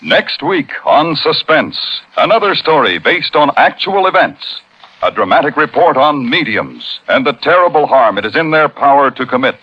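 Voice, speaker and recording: A man doing dramatic 1950s radio announcing in a theatrical, measured way. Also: on the high side, big pitch swings, a little hiss or hum. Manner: theatrical, measured billing of stars. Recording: muffled old radio broadcast with music.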